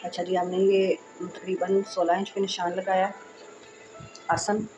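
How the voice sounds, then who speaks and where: A hoarse woman walks viewers through a sewing tutorial in a relaxed, reassuring way. Her voice sits low and stays flat, and clear music plays behind her. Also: a little slow, dull audio. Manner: chatty and reassuring, frequent "accha ji". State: hoarse, sore throat. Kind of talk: casual step-by-step sewing tutorial.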